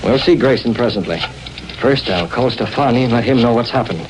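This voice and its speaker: An American actor playing a righteous masked vigilante, crisp and authoritative, in a 1930s radio adventure. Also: male voice, medium pitch, brisk, background noise, close to an everyday voice. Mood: brisk, decisive.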